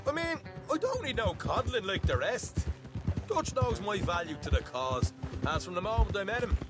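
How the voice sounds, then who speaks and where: Cocky young outlaw in a western game, high voice, mouthy, loud music behind him. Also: male voice, big pitch swings, moderate pace. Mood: boastful, defensive. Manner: brash, defensive, chatty. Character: cocky young outlaw man, boastful and eager to prove himself. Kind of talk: video game dialogue acting.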